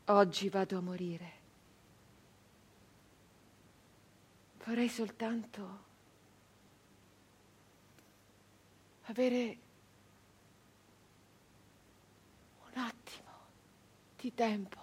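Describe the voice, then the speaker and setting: An actress playing a captured nineteenth-century brigand woman, wounded, bitter and doomed, in an intense, halting theatrical monologue. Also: a little high, slightly rough. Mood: resigned, longing. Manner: intense, halting delivery with repeated phrases.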